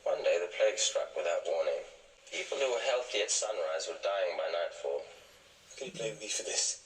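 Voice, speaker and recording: An actor playing a bitter, grief-stricken villain driven mad by loss in a period TV drama. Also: male voice, sits low, expressive, brisk, crowd noise. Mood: bitter, anguished. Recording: echoey TV audio with score.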